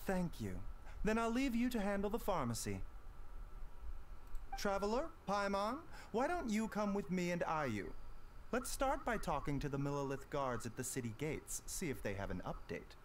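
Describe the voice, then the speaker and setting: Courteous doctor, gently lilting. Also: male voice, sits high, big pitch swings, a little hiss or hum. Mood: gracious. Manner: polite, formal, measured. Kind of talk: video game voice acting. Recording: game music underneath.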